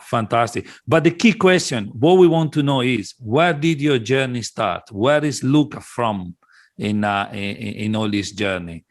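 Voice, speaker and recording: A man hosting a conversational interview podcast, phrasing his questions in a halting way with pauses. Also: medium pitch.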